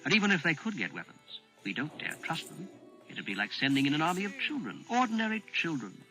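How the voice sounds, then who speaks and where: A man's voice: a little high, very animated, fairly quick, pushed and bright, faint music behind, pitched above the performer's own voice, full character voice, low-quality recording. Man doing a dry, sardonic strategist. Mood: wary.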